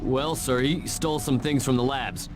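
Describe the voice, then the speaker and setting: An actor plays a male aide reporting to his superior in a video game. His voice is medium in pitch, formal and matter-of-fact. There is music in the background. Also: steady tone, normal speed, close to an everyday voice. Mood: dutiful. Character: dutiful male subordinate reporting to his boss. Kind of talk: video game cutscene acting.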